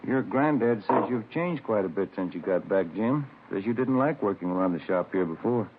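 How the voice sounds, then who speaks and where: A man's voice: fairly low pitch, animated, moderate pace, phone-quality sound. A man playing an easygoing police detective questioning witnesses in a 1950s radio drama. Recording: thin crackly vintage radio recording.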